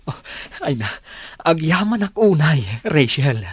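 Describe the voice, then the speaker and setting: An actor with an Ilocano accent playing an earnest, devoted young male suitor in a radio drama, husky and sincere. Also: a little high, sing-song, a little slow, full character voice. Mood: earnest, lovestruck.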